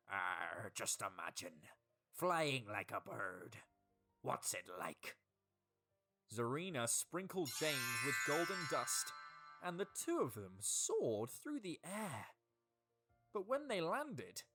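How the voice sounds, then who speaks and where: Slow, animated woman storyteller. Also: low voice. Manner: expressive, dramatic. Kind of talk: scripted children's storybook narration. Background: music.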